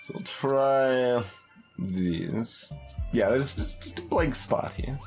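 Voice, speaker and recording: A man's voice: mid-range voice, animated, very slow, darkish tone, soft background music. A man giving casual video game commentary as a streamer, hesitant and thinking aloud as he plays. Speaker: gaming streamer.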